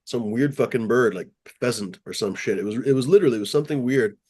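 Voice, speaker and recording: A man's voice: medium pitch, fast talker, a little mellow. A man hosting a casual podcast chat, rambling through stories with loose swearing.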